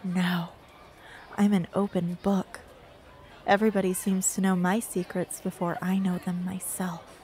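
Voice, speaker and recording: A woman's voice: on the low side, level tone, a little slow, darkish tone, some chatter behind, lightly acted. A young woman playing a blunt, guarded teenage girl in an amateur radio drama, with a terse, dry delivery. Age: young adult. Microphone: close studio microphone.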